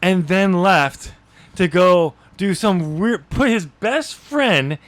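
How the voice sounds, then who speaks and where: Higher-pitched man chatting on a podcast. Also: lively intonation, takes it slowly, dry recording. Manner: chuckling, informal. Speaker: podcast host. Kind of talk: casual book discussion.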